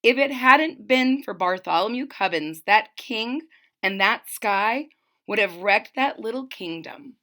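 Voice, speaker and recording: Woman, children's read-aloud, storyteller, slightly high pitch, bright tone, unhurried expressive delivery. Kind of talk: expressive read-aloud of a children's picture book, with explanatory asides.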